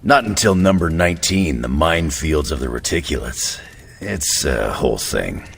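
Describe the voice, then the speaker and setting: A man acting as a gruff, cocky tough hero in a video game cutscene, with a gravelly voice and a terse, smug manner. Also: a bass voice, expressive, moderate pace, in a large hall, exaggerated voice.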